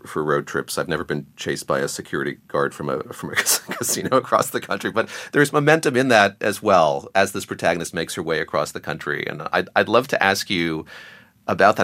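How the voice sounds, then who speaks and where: A man's voice: low voice, a bit bright, no room sound. A man hosting a broadcast interview, asking questions and introducing clips in a conversational style. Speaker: interview host.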